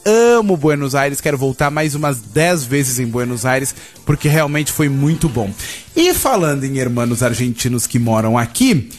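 A man's voice: mid-range voice, lively intonation, moderate pace, soft background music. A Brazilian man hosting a radio interview, chatty and rambling, speaking from the studio. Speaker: radio host.

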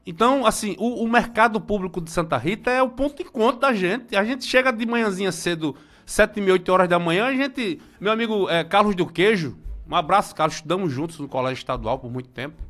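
A Brazilian man in his forties giving casual local commentary, chatty and nostalgic, with frequent restarts and shout-outs to friends. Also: sits high, expressive, fast, hardly any pauses. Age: 40s.

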